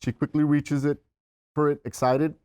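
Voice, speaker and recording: A man's voice: on the high side, level tone, normal speed, a little mellow, smooth, no room sound. A man reading scripted stage directions as the narrator at a table read.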